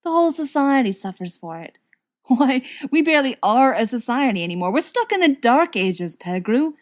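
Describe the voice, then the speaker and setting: A woman's voice: on the high side, big pitch swings, moderate pace, pitched above the performer's own voice. A woman voicing a young male wizard extremist in an audiobook, earnest and persuasive with a bitter edge. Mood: indignant.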